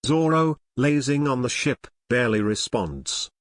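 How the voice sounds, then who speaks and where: A man narrates an audio drama from a script. His voice is mid-pitched and the recording is dry, with no room echo. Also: animated, a little slow.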